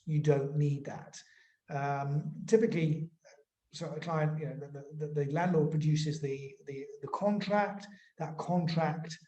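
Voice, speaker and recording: A man, a lawyer explaining things informally in an interview, haltingly and often repeating words. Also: fairly high pitch, mellow. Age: 40s. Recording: roomy, echoing sound.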